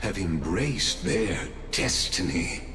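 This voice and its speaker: An actor playing a sly, solemn mystic official in a video game, speaking in a grandiose, deliberate, softly mocking way. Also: male voice, low-pitched, very animated, roomy sound, background noise, exaggerated voice. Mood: solemn, smug. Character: sly solemn male mystic official dropping his masquerade. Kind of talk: dramatic video game voice acting. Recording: loud music under the voice.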